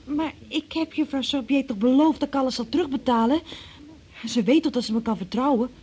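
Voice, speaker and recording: A woman's voice: high voice, a little fast, a little mellow, husky. An actress playing a naive, anxious young working-class woman accused of theft, plaintive and artless, in a Dutch radio drama. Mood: defensive.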